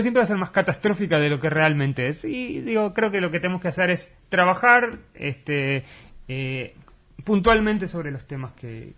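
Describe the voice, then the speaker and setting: A man's voice: fairly high pitch, a bit bright. Earnest Argentine man with many fillers. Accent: Argentine Spanish. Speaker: educator interviewee. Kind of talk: radio interview answer. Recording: radio interview recording.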